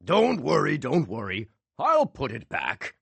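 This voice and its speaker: A man voicing a showy male stage magician with a grand, drawn-out showman delivery. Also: mid-range voice, huge pitch swings, vocal fry, fairly bright tone, slightly rough, dry recording, voice pushed up, full character voice.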